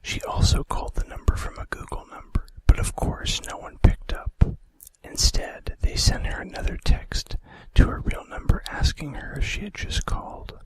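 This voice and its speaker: A man hosting an ASMR video, narrating in a breathy, unvoiced whisper with a hushed, deliberate delivery and soft casual asides. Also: low-quality recording.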